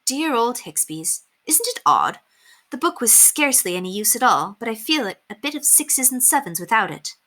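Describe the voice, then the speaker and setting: A woman's voice: fairly high pitch, big pitch swings, normal speed, pushed and bright, pitched above the performer's own voice, heavily acted. A woman voicing a kind, earnest young governess with proper, polite phrasing in a storybook reading.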